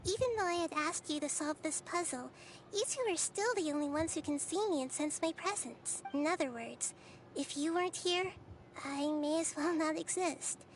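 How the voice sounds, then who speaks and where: A woman voicing a serene, wise girl deity in a video game, soft, youthful and measured as she explains. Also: high-pitched, a little hiss or hum, poor audio. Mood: gentle, reassuring. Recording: background music under the dialogue.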